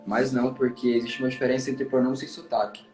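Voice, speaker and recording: Quick brazilian guy, teacher vibe, encouraging, roomy echo on the mic. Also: medium pitch, fairly even intonation, darkish tone, soft background music. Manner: encouraging, explanatory. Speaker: English teacher and traveler. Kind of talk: casual conversation giving advice.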